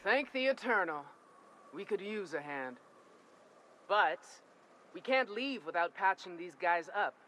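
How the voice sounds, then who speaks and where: An actor playing a weary frontier soldier with a drawl, casual and folksy. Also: male voice, high voice, animated, measured pace, pauses often, bright, forceful tone, a little hiss or hum, full character voice. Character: weary frontier soldier in trouble, adult man.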